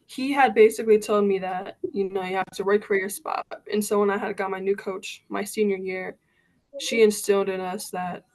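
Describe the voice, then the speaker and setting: A young woman in her late teens, a high school basketball player, telling her story as a guest over a video call with a relaxed, filler-filled way of talking. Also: poor audio. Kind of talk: casual interview answers.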